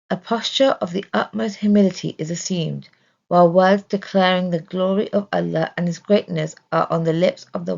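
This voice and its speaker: Woman with creaky voice reading religious lesson. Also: lowish voice, darkish tone, muffled recording. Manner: measured, deliberate reading. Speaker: reader of religious study text. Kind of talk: scripted narration, religious lesson.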